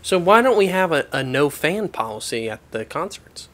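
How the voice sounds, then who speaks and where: A man doing a self-important TV therapist who hosts the show and badgers his patient. His voice sits a little high and jumps up loud whenever he insists on a point, as in an improvised comedy sketch. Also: sing-song, normal speed, a little hiss or hum. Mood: probing. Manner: bossy, loud pitch jumps when insisting. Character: pushy, self-important male TV therapist, blunt and bossy. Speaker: host.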